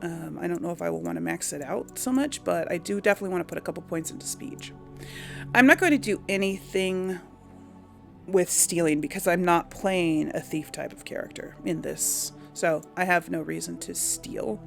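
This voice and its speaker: A woman's voice: lowish voice, normal speed, gravelly. A woman hosting a casual game commentary, talking informally to her audience and thinking aloud as she rambles along. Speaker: gaming host. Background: music.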